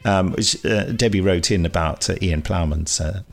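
Low-voiced male podcast host, chatty. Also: normal speed, gravelly. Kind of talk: casual chat, reading out listener emails.

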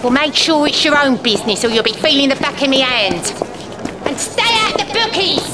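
An actress playing a bossy, brash older Cockney eel-seller, chatty and scolding, in audio drama. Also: female voice, sits high, animated, moderate pace. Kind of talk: character audio drama acting. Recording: clear background traffic noise.

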